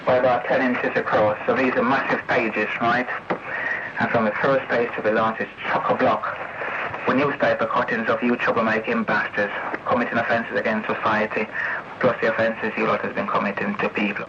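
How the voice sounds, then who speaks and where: A man's voice: on the low side, level tone, normal speed. An angry English man ranting confrontationally and repetitively over CB radio, swearing often. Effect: CB radio transmission. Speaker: CB radio user. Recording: noisy, muffled vintage CB radio recording.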